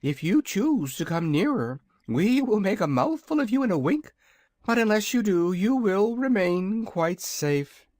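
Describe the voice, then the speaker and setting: A reader voicing a proud, hungry young dragon in a storybook, with a high, drawling, haughty manner. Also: sing-song, unhurried, darkish tone, no echo, clean studio sound. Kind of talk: audiobook character voice.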